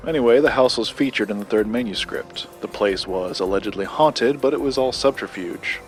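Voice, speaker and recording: Guarded male occult researcher, played by an actor, lowish voice, formal and precise, audio drama with music underneath. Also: close to an everyday voice. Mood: measured, reserved. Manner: formal, precise, measured wording. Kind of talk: scripted audio drama dialogue.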